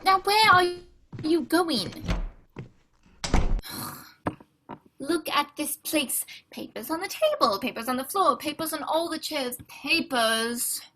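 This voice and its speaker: A woman's voice: high-pitched, animated, slow, pauses often, noisy background. A woman playing a fussy, tidy Victorian male doctor who narrates and scolds his untidy friend in a radio play. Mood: exasperated. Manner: prim and fussy, exasperated scolding. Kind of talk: amateur radio play acting.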